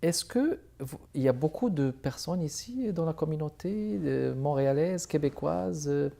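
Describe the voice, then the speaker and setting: A man hosting a television arts interview, asking long questions in a halting way and repeating words as he searches for them. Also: fairly high pitch, animated, gravelly, warm tone. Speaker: TV arts show host.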